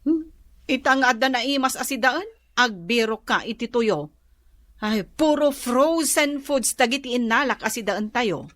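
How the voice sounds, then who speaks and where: A woman's voice: fairly high pitch, expressive, a little slow, fairly bright tone, dry recording. An actress playing a self-assured, defensive middle-aged mother and wife in a radio drama, with an Ilocano Filipino accent. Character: spendthrift, defensive mother and wife, boastful schemer.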